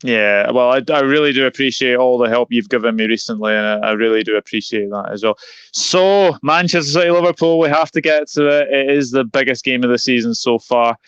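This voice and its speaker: A man's voice: mid-range voice, a little fast, a bit bright, clear, resonant tone, dry recording. A man hosting a football preview interview, speaking politely and often repeating his phrases. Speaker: football channel host. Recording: slightly dull, lo-fi audio.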